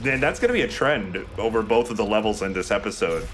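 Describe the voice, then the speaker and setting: A man's voice: lowish voice, expressive, quick pace, fairly bright tone, a little hiss or hum, rough recording. A young man giving casual, explanatory video game commentary with some swearing. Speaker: let's play host and player.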